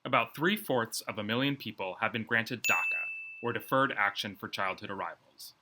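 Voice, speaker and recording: A man presenting an educational video in a scripted, measured, explanatory way. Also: fairly low pitch, heavy background noise. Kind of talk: scripted informational narration.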